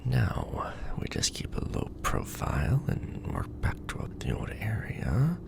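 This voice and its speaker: A man's voice: on the low side, huge pitch swings, unhurried, creaky, whispered, voice pushed up, heavily acted. A man playing a nervous, joking young ex-janitor in a roleplay audio drama, hesitant and informal. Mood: wry, cautious.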